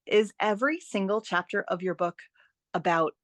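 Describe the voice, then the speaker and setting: A woman's voice: mid-range voice, normal speed, fairly bright tone, no echo. A woman speaking as an expert guest, explaining advice conversationally with emphasis and rhetorical questions. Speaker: guest editing expert and author.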